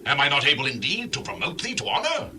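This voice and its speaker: Imperious offended king: very sharp, forceful, highish voice, Bible audio drama. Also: huge pitch swings, fast talker, slight background noise, full character voice. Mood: indignant. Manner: indignant, imperious. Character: Balak, indignant proud king of Moab. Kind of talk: dramatized scripture reading.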